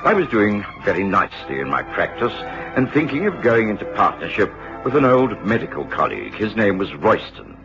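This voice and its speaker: An actor playing a British gentleman doctor who narrates his own misadventure in a measured, formal way for radio drama. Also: on the low side, sing-song, over music. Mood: measured, reflective. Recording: dull old radio recording.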